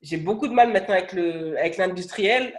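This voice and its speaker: High-voiced chatty male podcast host. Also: a little fast. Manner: chatty, with many hedges and fillers. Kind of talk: casual interview. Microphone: video call.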